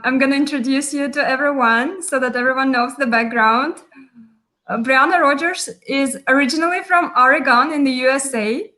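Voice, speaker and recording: Bright high-voiced woman hosting. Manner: welcoming, with frequent fillers. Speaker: host. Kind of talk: live online chat introduction.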